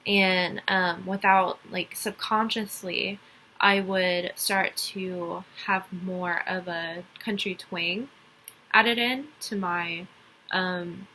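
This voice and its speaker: A woman's voice: on the low side, steady tone, drawn-out words, bright, forceful tone, slight background noise, low-quality recording. A young woman from Dallas with a light Texas accent, giving a casual class presentation and rambling through it with lots of ums and restarts. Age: young adult. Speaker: college student.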